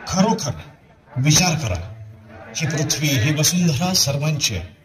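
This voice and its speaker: A man giving scripted, dramatic narration as a festival show announcer, in declamatory, measured phrases. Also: fairly high pitch, lively intonation, crowd noise. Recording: clear music behind the voice.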